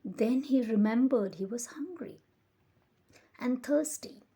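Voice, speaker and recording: A woman reading a children's picture book aloud as a storyteller, with expressive, deliberate emphasis. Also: fairly high pitch, slightly rough.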